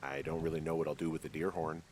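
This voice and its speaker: An actor playing a guarded, dryly joking fire lookout in naturalistic video game dialogue, low-key and understated. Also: male voice, little pitch movement, fast, noisy background.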